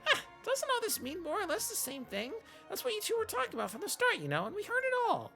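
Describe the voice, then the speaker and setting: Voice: very high-pitched, huge pitch swings, fast talker, creaky, over music, voice pushed up, full character voice. A performer voicing a small, cheeky, eager fairy companion from a video game, reading the dialogue aloud. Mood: curious, cheeky. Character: small high-pitched fairy companion, cheeky and eager, speaks in third person.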